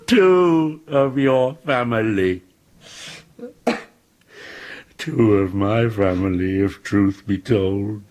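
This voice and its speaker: An actor playing Frankenstein's monster, a lonely, bitter, deformed creature speaking with eloquent, brooding menace in a radio drama. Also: male voice, lowish voice, a little mellow. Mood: bitter, taunting. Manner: theatrical, deliberate, brooding delivery.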